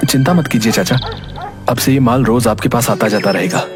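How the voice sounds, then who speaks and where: A man's voice: mid-range voice, a little fast, music in the background, lightly acted. A man playing a confident, reassuring young farmer in a cartoon, with an assured way of talking. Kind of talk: Hindi cartoon dialogue.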